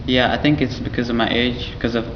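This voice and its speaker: Teenage boy, from Ethiopia, first language Amharic, student, short halting answers, monotone, noisy dull recording. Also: medium pitch. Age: teens. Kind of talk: interview answers. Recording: dull lo-fi recording with audible noise.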